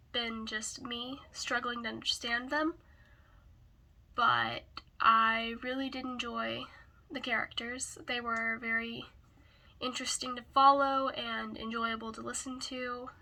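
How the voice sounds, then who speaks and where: Slow, raspy woman with a cold. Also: a little high, pushed and bright. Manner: hesitant, searching for words. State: hoarse from a cold. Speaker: book reviewer. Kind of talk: casual video book review.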